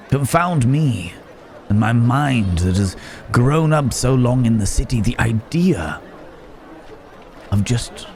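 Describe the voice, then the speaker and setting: A man's voice: fairly low pitch, darkish tone. A man playing a courteous, wary city-bred werewolf in an ASMR roleplay, hesitant and musing with old-fashioned wordy phrasing. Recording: market crowd and outdoor ambience noise. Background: bustling village market ambience.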